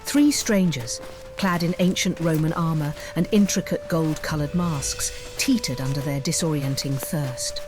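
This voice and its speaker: Female audiobook narrator for fantasy, measured, descriptive delivery with a faint music bed. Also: sits low.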